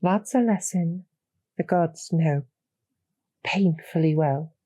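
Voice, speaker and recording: A woman voicing a calm, knowing succubus demoness in an intimate audio roleplay, speaking in a measured, softly persuasive way with a low, breathy, gravelly voice. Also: no echo. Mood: knowing, gentle. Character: calm, knowing demoness succubus archer, former hero, loyal to her queen.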